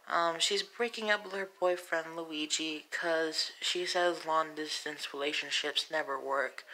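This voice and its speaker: A woman voicing a video game princess who reads a mock-solemn, halting news bulletin. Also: mid-range voice, bright, forceful tone, pitched above the performer's own voice, poor audio.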